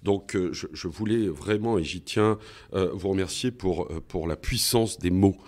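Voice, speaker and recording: A man's voice: low-pitched, moderate pace. A man hosting a podcast, speaking in a semi-formal, courteous way as he addresses guests and listeners. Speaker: podcast host.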